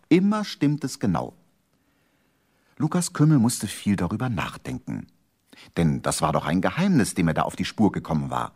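A man with a husky voice narrating a scripted children's story for a radio play. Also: deep voice, darkish tone.

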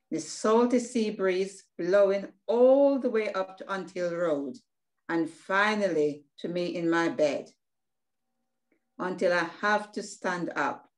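Very slow, homesick migrant nurse, read by a woman. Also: fairly low pitch. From Caribbean. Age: older. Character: homesick Barbadian migrant nurse steeling herself. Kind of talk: rehearsed play reading, monologue.

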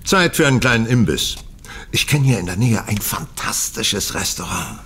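An actor playing a shrewd, unruffled gentleman detective in an audio drama, polite and composed, with a husky voice. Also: lowish voice, big pitch swings, moderate pace, heavily acted. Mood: coolly amused. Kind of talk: German audio drama acting.

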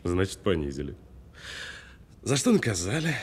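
An actor playing a cool, sardonic detainee who needles a policeman with deliberate, mocking delivery in an audio drama. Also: sits low, big pitch swings, a little mellow, a little hiss or hum. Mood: calm, sardonic. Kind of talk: naturalistic audio film drama acting.

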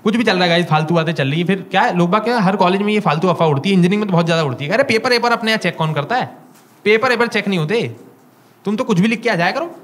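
A young man with an Indian accent doing a stand-up comedy set in a small club, telling stories with animated acted-out dialogue into a handheld mic. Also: high-pitched, racing, a little hiss or hum. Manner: animated storytelling, acting out dialogue. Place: small comedy club stage before a live audience. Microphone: handheld stage mic.

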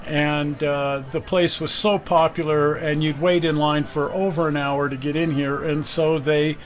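A man's voice: a little high, little pitch movement, unhurried. Chatty older man, nostalgic host. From Utah. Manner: chatty, nostalgic, laughs easily. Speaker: video host. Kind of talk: casual chat.

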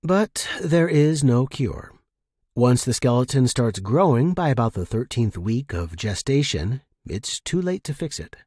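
Expressive, husky male story narrator. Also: medium pitch, unhurried, dry recording, clean studio sound. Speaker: audio fiction narrator. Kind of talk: first-person scripted story narration.

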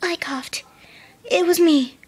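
Woman as a timid, high-voiced gentle pegasus pony. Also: slow. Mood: resigned. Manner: hesitant, soft whispery delivery. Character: timid, gentle, self-sacrificing young female pegasus pony.

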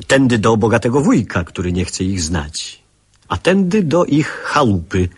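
Wistful man narrator, very husky, low, lively intonation, measured reflective phrasing, literary radio drama. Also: exaggerated voice. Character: wistful grown man recalling his boyhood in a city.

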